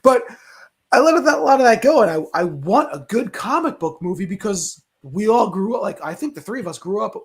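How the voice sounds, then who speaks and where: A man's voice: high-pitched, animated, fairly quick. A man giving casual, opinionated takes on a movie discussion show, rambling and emphatic as he repeats himself.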